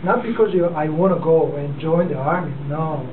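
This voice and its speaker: A man in his forties from South Korea, with a Korean accent, giving an unprepared speech to a club in a halting way, full of fillers and restarts. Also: fairly high pitch. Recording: distant, echoey, phone-like and noisy.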